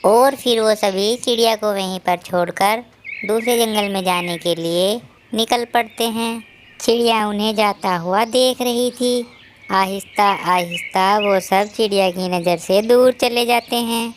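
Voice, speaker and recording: Woman narrator, scripted children's story, middle pitch, light bird noise. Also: measured pace.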